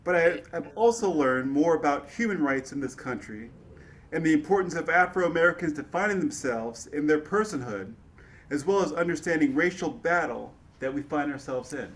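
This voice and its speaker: A man playing an earnest, impassioned civil rights activist and orator in a dramatic reading, speaking in measured oratory. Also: on the high side, expressive, a bit bright. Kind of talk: dramatic reading of historical dialogue.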